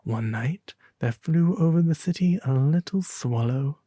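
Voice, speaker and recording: A man voicing an aristocratic male demon prince, pompous and refined, with soft, sometimes breathy, drawn-out delivery in an ASMR roleplay reading. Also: a little high, no room sound, studio quality. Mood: gentle, storytelling.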